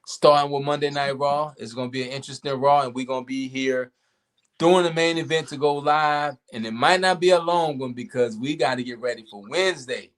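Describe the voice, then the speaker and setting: An easygoing man hosting a podcast, talking in a relaxed, casual way. His voice sits in an ordinary middle range and sounds a little hoarse, as if he has been talking for hours. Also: medium pitch, a little fast. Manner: laid-back, relaxed sign-off talk. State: losing his voice. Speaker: podcast host.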